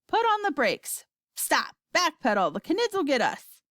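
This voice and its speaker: A loud, bossy old grandmother from a children's storybook, as a woman reader puts her on. She screams and scolds in alarm, high and swooping, in a dry recording. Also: sing-song, normal speed, dry recording. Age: older.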